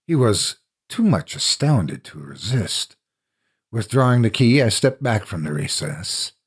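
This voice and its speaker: A man reads classic horror with theatrical deliberation. His voice is on the low side and husky, and the pitch rises and falls dramatically as he narrates. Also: big pitch swings, unhurried.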